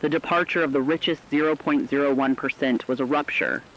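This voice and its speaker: A man giving scripted news narration as a radio co-anchor, formal and measured. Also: on the high side, fairly even intonation, fairly bright tone, rough recording.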